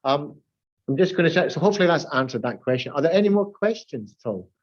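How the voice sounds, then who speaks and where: A man's voice: a little high, lively intonation, fast, dull audio. Glaswegian man hosting a panel call. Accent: Glaswegian Scottish. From Glasgow, Scotland. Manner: chatty, loose, with fillers and restarts. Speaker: webinar host. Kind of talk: casual moderating of a panel.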